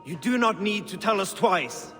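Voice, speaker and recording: An actor playing a gruff, impatient, taunting Viking raider in a video game. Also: male voice, high voice, expressive, moderate pace, pushed and bright, long reverb tail, soft background music. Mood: gruff banter. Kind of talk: video game cutscene acting.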